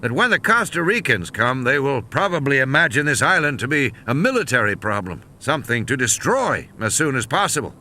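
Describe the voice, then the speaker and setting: Man voicing a practical game warden, raised pitch. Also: sing-song, brisk, hardly any pauses, bright, forceful tone, heavily acted. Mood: grave, warning. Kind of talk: audiobook character dialogue.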